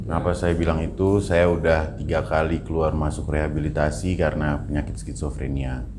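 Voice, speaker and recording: Male, 29, deep register, informal interview guest who repeats phrases as he explains; slightly noisy room. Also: flat delivery, fairly quick. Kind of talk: casual personal account.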